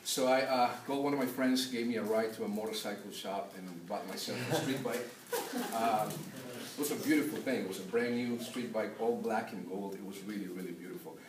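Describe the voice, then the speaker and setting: A young man who grew up in Peru tells a personal story for a class assignment, pausing often on filler sounds. His voice sits somewhat low. It comes from a distance in an echoey classroom with a faint hum behind it. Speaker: student giving a class speech.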